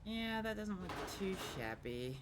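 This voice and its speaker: A Scottish man streaming a city-building game, thinking aloud in a rambling way with many pauses and ums while he chats with viewers. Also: sits high, big pitch swings, noisy background. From Scotland. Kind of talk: casual gameplay livestream commentary.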